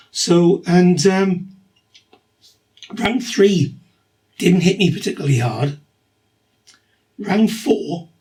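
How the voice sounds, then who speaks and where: Vlogger, middle-aged man, husky with a slight cough, rambling and matter-of-fact, voice sits a touch high. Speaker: vlogger documenting his cancer treatment. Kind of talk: casual video diary.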